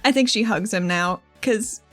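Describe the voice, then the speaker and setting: A woman's voice: medium pitch, moderate pace, soft background music. A woman as a player in a tabletop game, chatting casually as she improvises what her character does.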